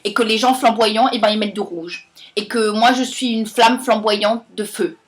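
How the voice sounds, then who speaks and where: A woman playing a brash, rambling young beauty queen with a thick Alsatian accent, crude and over the top, lurching between loud outbursts and low mutters. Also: steady tone, normal speed, close to an everyday voice. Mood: boastful rambling. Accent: Alsatian French. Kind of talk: comic monologue sketch.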